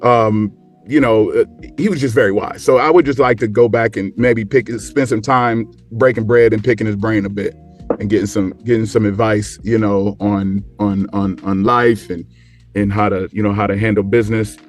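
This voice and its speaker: A man chatting casually in a group, informal and often halting as he searches for his words. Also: on the low side, steady tone. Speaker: show guest. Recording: background music under the voice.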